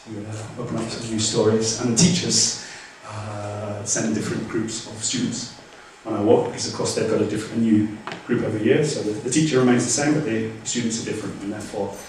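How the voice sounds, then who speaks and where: A man's voice: fairly low pitch, steady tone, a little fast, hardly any pauses, a little mellow, slight background noise. Man answering questions in an echoey hall. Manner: hesitant, many restarts and fillers. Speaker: guest speaker. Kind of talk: Q&A after a lecture. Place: lecture room. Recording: echoey room from far off.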